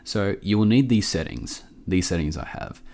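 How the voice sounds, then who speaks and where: A man with a cold presents a casual software tutorial in an offhand, halting, low-key way, speaking close to the microphone. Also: big pitch swings. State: sick with a cough.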